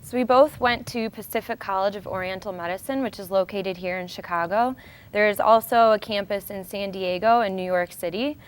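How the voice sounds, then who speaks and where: An American woman presents an informal educational show in a mid-pitched voice. Her tone is smooth and clean, with a clear delivery suited to explaining health practice to viewers. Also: fairly quick. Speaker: show host, acupuncturist.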